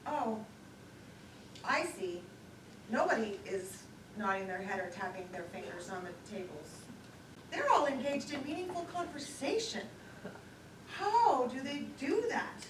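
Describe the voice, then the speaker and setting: An actress plays a self-conscious, chattering woman on an awkward date in a stage play. Her voice is high and theatrical, and she rambles at an unhurried pace. It is heard from a distance in an echoey theater, with clear noise over the recording. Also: lively intonation. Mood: self-conscious, hopeful. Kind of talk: live one-act stage play monologue.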